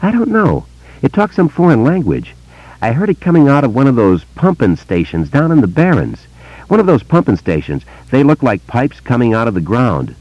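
A man voicing a curious, excitable small-town boy who talks in a quick, slangy way. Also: mid-range voice, dry recording, pitched above the performer's own voice. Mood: earnest. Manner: breathless, excitable, slangy kid talk. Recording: dull lo-fi dry recording.

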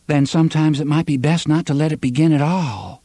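A man's voice: on the high side, fairly even intonation, brisk, dark tone, no echo, pitched above the performer's own voice, close to an everyday voice. A man voicing a proud young country girl in a storybook, defiant and controlled, with formal old-fashioned wording.

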